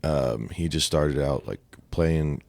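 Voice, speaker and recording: A man from Ohio with a deep voice, a guest singer-songwriter talking casually about his craft, relaxed and chatty with lots of "dude" and "right?". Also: slow, creaky, no echo. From Ohio, USA. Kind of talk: casual podcast conversation.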